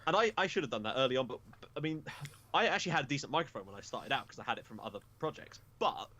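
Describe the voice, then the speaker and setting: A young Englishman talks through a casual podcast chat at a middle pitch. He is frank and self-deprecating, and he drops the odd swear word without fuss. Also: animated, rapid-fire, bright, forceful tone. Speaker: podcast guest.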